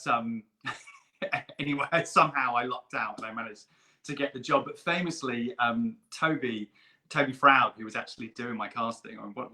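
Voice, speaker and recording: A man telling eager, rambling stories on a video call, like an excited fan. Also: mid-range voice. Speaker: guest puppeteer and performer. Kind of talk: casual chat.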